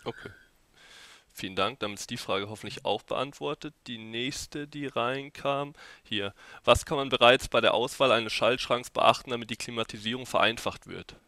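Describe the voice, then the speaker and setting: A man's voice: medium pitch, normal speed, pushed and bright, dry recording. A young man moderating a live Q&A over a headset, politely reading out audience questions. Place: indoors at a desk.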